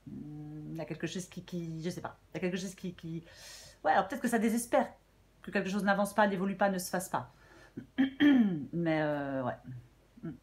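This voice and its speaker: A woman with a French accent, slightly hoarse, giving a casual tarot reading to viewers and thinking aloud with many fillers and restarts. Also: on the low side, moderate pace, pauses often. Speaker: tarot reader.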